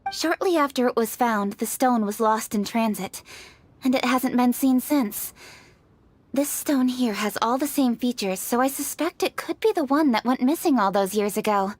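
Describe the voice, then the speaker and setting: A woman voicing an earnest, scholarly young official in a video game, speaking briskly and clearly. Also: fairly high pitch, dry recording, close to an everyday voice.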